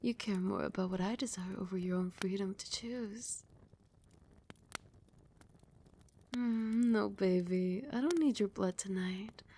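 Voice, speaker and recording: Woman acting as a doting vampire queen, soft breathy asmr, kinda creaky mellow voice, seductive and in charge. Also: mid-range voice, a little hiss or hum, natural voice. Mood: tender, intimate. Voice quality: soft, breathy, often whispered. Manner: slow, soothing, cooing endearments. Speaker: ASMR roleplay voice actor. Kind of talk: soft whispered ASMR roleplay.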